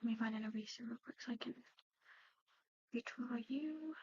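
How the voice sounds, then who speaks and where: A woman doing an ASMR roleplay, speaking softly and often slipping into whispers, with a hesitant, halting delivery. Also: a little high, dull audio, a little hiss or hum. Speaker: ASMR performer.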